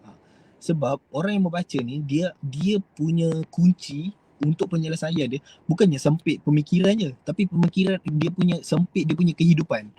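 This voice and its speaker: A man's voice: on the high side, moderate pace, dark tone, slightly rough, rough recording. A young Malaysian man with a Malaysian Malay accent, giving a rambling, hesitant motivational talk to his viewers. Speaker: host.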